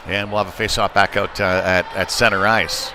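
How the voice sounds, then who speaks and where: A man's voice: on the low side, normal speed. A man calling a live youth hockey game rinkside in a conversational, hesitant way that lifts with the action. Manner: conversational, hesitant, rising excitedly on shots. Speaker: play-by-play hockey commentator. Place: rinkside at an indoor hockey arena. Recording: noisy rink ambience behind voice.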